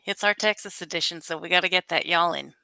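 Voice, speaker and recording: A woman's voice: lowish voice, quick pace, vocal fry, pushed and bright, dry recording, rough recording. A woman from California hosting a casual chat, explaining things at length with laughs along the way. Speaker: podcast host.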